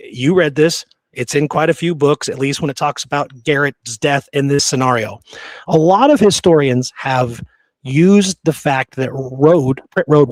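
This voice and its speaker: A man's voice: medium pitch, no echo. A man hosting a history podcast, talking casually and emphatically and often checking for agreement. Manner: informal, emphatic, seeks agreement with "right?".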